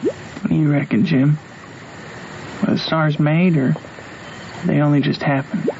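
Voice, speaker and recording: A man's voice: on the high side, steady tone, measured pace, creaky. A man playing a curious, thoughtful boy with a Southern accent, chatting in folksy style in a radio drama. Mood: musing. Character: Huck, curious musing Southern boy on a raft. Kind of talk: old-time radio drama acting. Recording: muffled noisy old radio recording.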